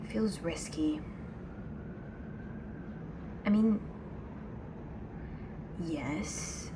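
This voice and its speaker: A woman playing a restless, anxious young woman in an ASMR audio drama, speaking softly and hesitantly, often near a whisper. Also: on the low side. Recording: clear background noise, ambient sound.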